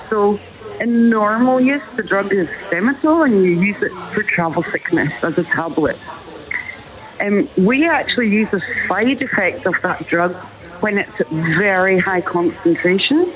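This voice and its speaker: A woman's voice: mid-range voice, low-quality recording. A woman with a Scottish accent, a cancer researcher, explaining her work plainly as a radio guest on a remote line from a park. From raised in the north of Scotland. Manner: plain, explanatory, slightly self-deprecating. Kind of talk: phone-in radio interview. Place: outdoors at a community park. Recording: crowd noise behind, remote line.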